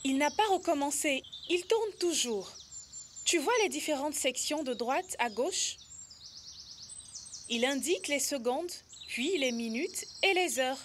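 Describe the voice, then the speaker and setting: An eager young character in a children's educational cartoon. The voice is high and explains things plainly, helpfully. Faint outdoor ambience runs underneath. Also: female voice, lively intonation, a little slow, slight background noise. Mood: patient, instructive. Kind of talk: educational cartoon dub acting.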